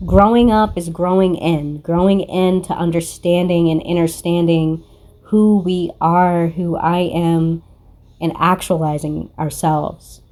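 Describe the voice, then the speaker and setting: A woman's voice: fairly low pitch, fairly even intonation, slow, a little mellow. A woman giving a casual spiritual card reading in a blunt, confrontational manner, swearing freely. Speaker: tarot card reader and spiritual content creator.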